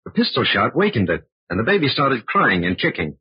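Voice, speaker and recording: An actor playing a brisk, methodical federal agent reporting on a case in an old-time radio drama. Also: male voice, mid-range voice, big pitch swings, husky, no echo. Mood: businesslike. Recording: dull, band-limited old radio recording.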